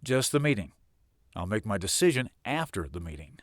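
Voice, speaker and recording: An actor in an audio drama playing a guarded commanding boss with a terse, authoritative manner. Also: male voice, fairly low pitch, very animated, normal speed, no echo, clean studio sound. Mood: firm, reluctant.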